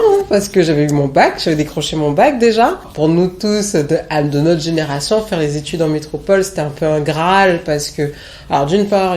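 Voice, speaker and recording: A woman in her late thirties, raised in French Guiana, gives a personal interview. Her voice sits on the low side, and she talks in a candid, emphatic way about her own life. Soft music plays faintly underneath. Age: late 30s. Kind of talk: reflective personal testimony in an interview.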